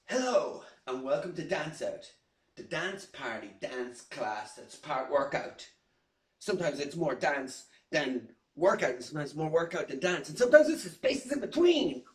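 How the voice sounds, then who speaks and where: A playful man performs a comic how-to video in an exaggerated way. His voice is high for a man and swings around a lot, with music behind it. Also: big pitch swings, normal speed, husky, no room sound. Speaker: comedy performer.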